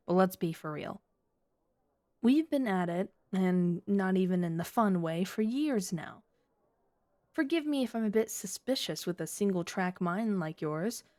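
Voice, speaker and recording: A woman's voice: mid-range voice, animated, measured pace, mellow, natural voice. A woman playing a flirty, taunting underworld rogue in an ASMR audio roleplay, with a teasing, sardonic drawl. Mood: teasing, seductive. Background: music.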